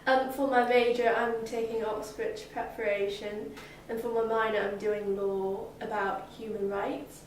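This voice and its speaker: A woman's voice: on the high side, flat delivery, a little slow, hardly any pauses. Teenage girl from Hong Kong, hesitant. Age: teens. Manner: hesitant, many "like" fillers. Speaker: summer school student. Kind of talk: interview answers. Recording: echoey room, distant mic, faint noise.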